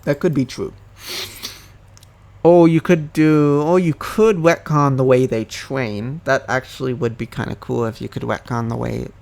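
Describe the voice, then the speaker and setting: Young male youtuber, unhurried, stumbling rambling delivery, middling pitch. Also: fairly even intonation, vocal fry, darkish tone. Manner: hesitant, many ums and self-corrections. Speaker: anime commentary youtuber. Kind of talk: casual rambling monologue.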